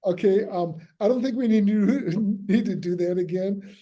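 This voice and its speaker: A man leading a script table read, giving casual notes in a halting, hesitant way that often trails off. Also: high-pitched, mellow, lo-fi sound.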